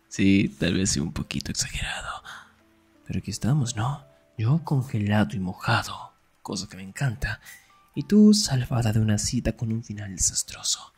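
A man acting a young, sarcastic and jealous best friend in an ASMR roleplay, teasing in a breathy voice that often drops toward a whisper. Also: lowish voice, sing-song, normal speed, darkish tone, soft background music, full character voice. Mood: wry, teasing.

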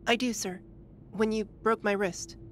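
A woman's voice: mid-range voice, steady tone, measured pace, fairly bright tone, a little hiss or hum, lightly acted. A woman voicing an obedient, tough thirteen-year-old girl in an audio drama, terse and respectful. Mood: respectful, subdued.

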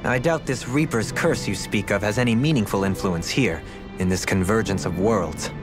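A man's voice: lowish voice, moderate pace, over music, natural voice. A man playing a courteous, regal young man in a video game, speaking in a formal and precise manner. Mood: composed, courteous. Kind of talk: video game cutscene acting.

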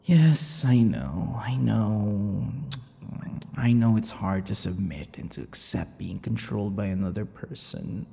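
A man voicing a possessive, centuries-old vampire in an intimate ASMR boyfriend roleplay, teasing and breathy, often dropping toward whispers. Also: on the low side, animated, slow, dark tone, exaggerated voice. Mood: coaxing. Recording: long reverberant echo.

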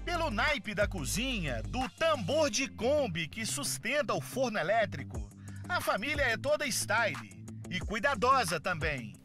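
A man reads a scripted voice-over for a light TV news feature, chatty and colloquial. His pitch swings with lively emphasis. Clear music plays under him. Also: measured pace. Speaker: news narrator.

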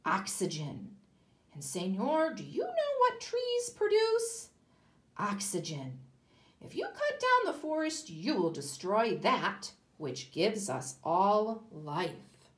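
Earnest storybook porcupines, put on by a woman reading aloud, high and emphatic, swinging up on each question. A roomy echo hangs on the voice. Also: wildly swooping pitch, slow pace. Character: group of tree porcupines, earnest teachers. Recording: echoey room.

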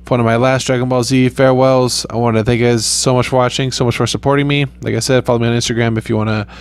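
A young man casually talking over his mobile gameplay in a rambling, laid-back way, with a flat, low-key voice and loose swearing. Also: rapid-fire, smooth. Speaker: gaming YouTuber.

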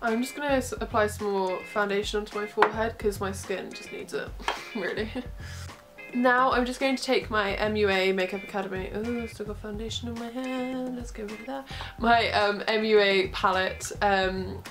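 A woman's voice: medium pitch, normal speed, music in the background. A young woman with a cold and a bunged-up voice, chatting casually through a makeup tutorial in her bedroom, close to the camera. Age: young adult. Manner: chatty, relaxed, giggly asides. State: congested from a cold. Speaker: makeup vlogger. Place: small bedroom, indoors. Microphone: camera close in front.

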